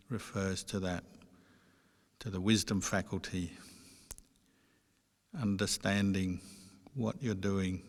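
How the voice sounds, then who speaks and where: A man teaching meditation, giving guided instruction in a measured way with pauses between short phrases. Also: sits low. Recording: long reverberant hall sound.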